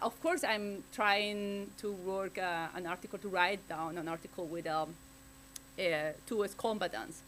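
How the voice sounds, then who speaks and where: Woman researcher, English, halting speech, frequent restarts, panel talk, faint hum. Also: mid-range voice, fairly bright tone, poor audio. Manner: halting, searching for words, many restarts and fillers. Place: conference panel.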